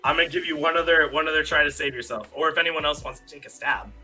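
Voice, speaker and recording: Male live-stream game host, keen and emphatic, piercingly bright mid-range voice, light music underneath. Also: on the high side, fairly even intonation, quick pace, husky, rough recording. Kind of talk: casual chat and quiz hosting on a live stream. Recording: faint music behind the voice. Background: faint music.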